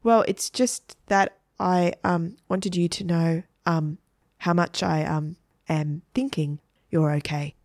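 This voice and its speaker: A woman's voice: medium pitch, animated, moderate pace, no room sound, clean studio sound. A woman voicing an awkward, lovesick schoolboy in a story, by turns insistent and fumbling. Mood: flustered. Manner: insistent, fumbling when embarrassed.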